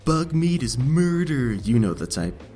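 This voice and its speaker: A man playing a sleepy, laid-back badger fast-food cashier with a lazy, casual drawl in an audiobook. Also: medium pitch, very animated, mellow, faint music behind. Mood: mellow, friendly. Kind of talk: audiobook character acting.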